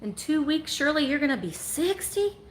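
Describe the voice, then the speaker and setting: A woman's voice: sits high, big pitch swings, a little fast, slight background noise. A woman in her forties hosting a live vintage sale from home, chatting and joking as she shows items. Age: 40s. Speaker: online vintage seller.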